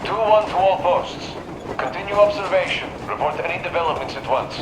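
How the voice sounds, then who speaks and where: A man's voice: high voice, brisk. A man playing a terse, businesslike soldier talking over military radio in a video game. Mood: brisk, official. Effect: radio transmission. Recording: very noisy, echoey field radio.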